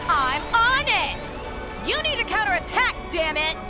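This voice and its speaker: Hot-headed young villainess, piercingly high, animated, snappy, video game, loud backing music. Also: female voice, measured pace, exaggerated voice. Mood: agitated, angry. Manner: snappy, exclaiming. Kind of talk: video game cutscene acting.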